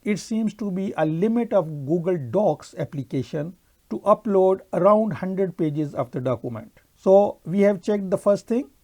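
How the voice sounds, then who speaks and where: A man whose first language is Urdu narrates a computer tutorial over his screen recording. He explains each click in a steady, methodical way. His voice is warm and mellow. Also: high-pitched, normal speed. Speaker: software tutorial presenter.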